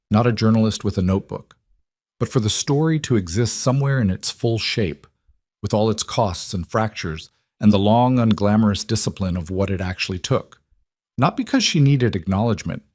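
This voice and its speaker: A man reading a scripted dramatic story in a measured, solemn manner. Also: lowish voice, a little mellow, no echo, studio quality. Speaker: narrator.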